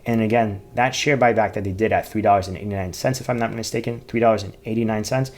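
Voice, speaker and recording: A man giving informal, unscripted finance commentary, reading out figures in a halting, repetitive way and often correcting himself. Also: lowish voice, steady tone. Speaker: finance YouTuber reviewing company earnings.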